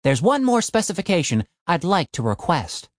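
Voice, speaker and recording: A determined young man from a storybook, as a male narrator plays him. He sounds earnest and insistent about his schemes. The pitch moves up and down a lot, in a dry, close recording. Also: very animated, normal speed, no room sound. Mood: matter-of-fact.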